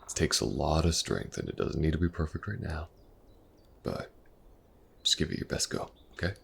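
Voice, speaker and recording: Man acting a very deep, gravelly elven mercenary. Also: animated, a little hiss or hum, full character voice. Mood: patient, instructive. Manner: hesitant, soft-spoken, casual, with halting pauses. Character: male elven mercenary companion, patient, awkwardly gentle archery teacher. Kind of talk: intimate fantasy audio roleplay acting.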